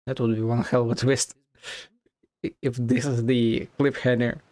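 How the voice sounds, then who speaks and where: A man's voice: medium pitch, flat delivery, normal speed, a little mellow. A man reacting casually as he plays a story game, swearing freely as he goes. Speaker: gaming streamer.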